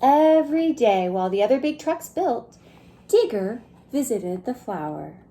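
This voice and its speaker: Gentle, mellow woman reading to young children. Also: a little high, very animated, unhurried. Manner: gentle, asks the listening children questions. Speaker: preschool story time reader. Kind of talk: expressive picture-book read-aloud for young children.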